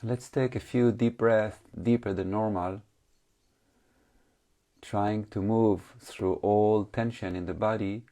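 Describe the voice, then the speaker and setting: A man leading a guided meditation as a teacher, calmly instructing in short phrases with long pauses and slightly non-native English phrasing. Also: on the low side.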